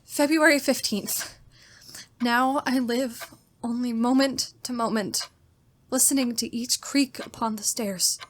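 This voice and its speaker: A woman's voice: fairly high pitch, takes it slowly. An actress playing a terrified, genteel captive young woman in a suspense drama, with a faltering, melodramatic delivery. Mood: despairing. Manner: faltering, repeats words, melodramatic. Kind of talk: old-time radio style suspense drama, diary monologue.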